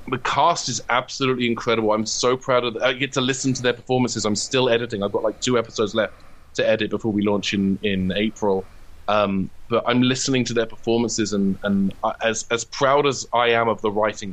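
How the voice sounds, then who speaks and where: A man speaking casually as an interview guest, earnest and halting as he repeats his phrases. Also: lowish voice, fairly bright tone. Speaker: guest, comedian and writer.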